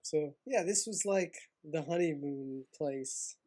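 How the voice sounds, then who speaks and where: A man in his thirties who grew up in Hawaii, co-hosting a casual podcast, excitable and quick to laugh. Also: fairly high pitch. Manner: excitable, laughs often, says "man" and "dude". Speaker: podcast co-host. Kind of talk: casual chat.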